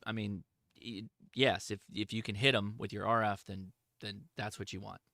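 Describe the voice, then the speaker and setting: A man's voice: lowish voice, flat delivery, moderate pace, creaky, sharp tone, dry recording, clean studio sound. A man hosting a livestream, answering chat questions in a casual, informal, enthusiastic hobbyist way.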